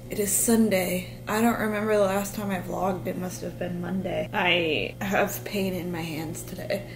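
A young woman in her twenties chatting casually into a desk camera in her small kitchen, rambling with lots of "like" and a slightly raspy voice. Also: on the low side. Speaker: vlogger. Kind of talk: casual vlog chat. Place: small home kitchen, indoors. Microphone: camera on a desk, facing her.

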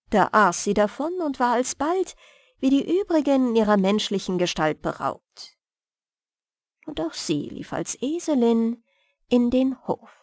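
A woman storyteller reading scripted bedtime fairy tales with expressive, careful phrasing. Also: on the high side, no echo, clean studio sound. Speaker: storyteller, audiobook reader.

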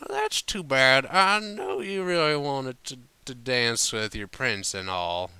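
A man's voice: mid-range voice, slow pace, vocal fry, pushed and bright, clear, resonant tone, no room sound, pitched above the performer's own voice, heavily acted. A man voicing a stubborn, earnest, drunken female country pony in a storybook, with a slurred American Southern drawl and folksy, blurting manner. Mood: tipsy, earnest. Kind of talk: fan fiction audiobook character voice.

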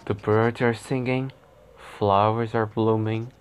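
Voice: medium pitch, flat delivery, slow pace, clean tone, natural voice. A performer voicing a lazy, playful cartoon fox big brother, with a drawling, theatrical delivery. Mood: calm menace. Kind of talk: character voice lines, acted.

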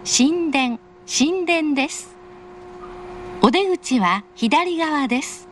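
A woman with a high voice reads a recorded in-car announcement. She is polite and formal, enunciating carefully at an unhurried pace. Faint train noise runs behind her. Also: animated. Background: train car ambience.

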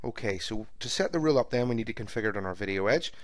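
A man with a fairly low voice walks through a software setup one step at a time, calm and methodical like a trainer. His narration is close to the microphone and sounds dry, with almost no room around it. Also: racing, dry recording. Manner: steady, methodical step-by-step explanation. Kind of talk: screen-recorded software tutorial. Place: voice-over recorded for a screen capture.

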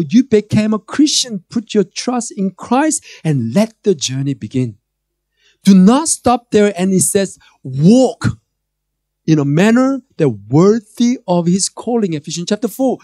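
A man's voice: high-pitched, mellow, dry recording, clean studio sound. A man in his thirties with a Korean accent, preaching a sermon from a church stage into a handheld mic, joking at times and building to emphatic calls. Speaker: pastor. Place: church stage before a screen.

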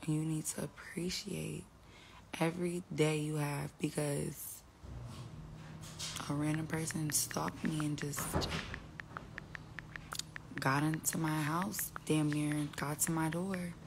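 A woman's voice: sits low, level tone, takes it slowly, creaky, pauses often, background noise. A young woman talking casually into her phone on a live stream at home, rambling and trailing off as she speaks. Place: indoors at home, phone selfie. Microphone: phone held close.

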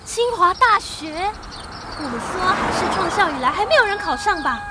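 A chatty teenage schoolgirl in a radio drama, doubting and extremely high in pitch. Her delivery swoops about over loud background ambience. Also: very animated, moderate pace, heavy background noise. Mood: astonished.

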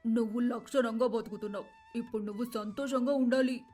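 A woman plays a well-off middle-aged mother with a high voice. She is doting and insistent, in storytelling style over faint music. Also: steady tone, fairly quick, warm tone, lightly acted. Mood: concerned, reassuring. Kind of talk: dramatized moral story voice acting.

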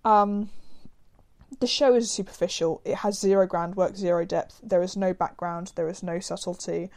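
A woman giving a casual spoken review, her voice slightly raspy from a cold, rambling with many fillers and restarts. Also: fairly low pitch, steady tone, normal speed, darkish tone. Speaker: video reviewer.

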